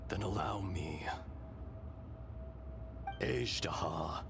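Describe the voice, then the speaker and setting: A man playing a calm, benevolent ancient dragon spirit in a human body, speaking with solemn, weary, measured delivery in a video game. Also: sits low, noisy background, full character voice. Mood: solemn, reflective. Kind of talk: dramatic video game voice acting. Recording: loud music behind the voice. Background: loud game music.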